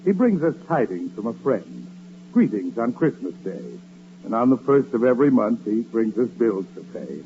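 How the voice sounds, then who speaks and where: An actor playing a pompous, hammy street poet, declaiming rhymes grandly in 1940s radio comedy style. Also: male voice, mid-range voice, sing-song, moderate pace, close to an everyday voice. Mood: solemnly declaiming. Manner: grandiose, theatrical, rhyming declamation. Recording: muffled, noisy vintage radio recording.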